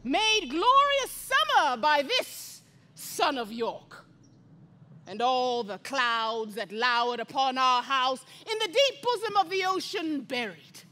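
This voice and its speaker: Brooding, wildly swooping royal villain. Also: female voice, high-pitched, lively intonation, exaggerated voice. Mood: sardonic, mock grand. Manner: declamatory, deliberate, with sudden drops to hushed menace. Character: embittered, scheming deformed royal villain, a man, brooding and mocking. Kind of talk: theatrical Shakespearean stage monologue.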